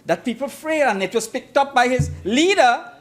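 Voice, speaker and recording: A man's voice: extremely high pitch, lively intonation, normal speed, faint music behind. A Trinidadian man giving a formal parliamentary debate speech as an opposition member, emphatic and oratorical, repeating phrases for effect. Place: parliament chamber.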